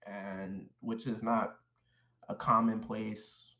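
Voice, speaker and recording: A man born in Haiti, a guest in an interview, telling his life story in a measured, thoughtful way with frequent fillers and restarts. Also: on the low side, flat delivery, bright, forceful tone, reverberant, highs cut off. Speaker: guest, clinical social worker. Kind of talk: reflective personal storytelling.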